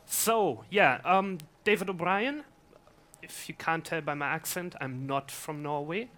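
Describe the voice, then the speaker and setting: A man's voice: on the high side, a little slow, sharp tone. A man presenting at a conference, chatty and self-deprecating, asking the audience questions. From Scotland and Germany. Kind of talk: casual conference talk. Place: conference room.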